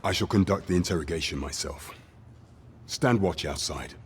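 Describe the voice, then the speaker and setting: A deep-voiced captain of the royal guard, formal and authoritative. He speaks in measured, old-fashioned phrases for a fantasy game, over faint ambient noise. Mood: stern, commanding. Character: stern, commanding guard captain of a fantasy kingdom. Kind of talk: video game voice acting, archaic formal speech.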